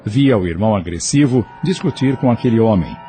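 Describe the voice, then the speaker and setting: A man with a Brazilian Portuguese accent narrating an audio drama in a measured, expressive storyteller's manner. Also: lowish voice, faint music behind.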